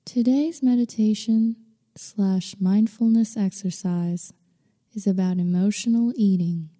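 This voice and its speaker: A woman guiding a meditation in slow scripted narration, with a soothing, unhurried, gently instructing manner. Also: mid-range voice. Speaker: meditation guide. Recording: long reverberant hall-like echo.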